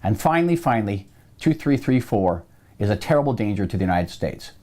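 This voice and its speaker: Male commentator, mellow tone, emphatic address to camera. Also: on the low side. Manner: emphatic, insistent, building to a call to action. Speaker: political commentator. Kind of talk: impassioned political address to camera.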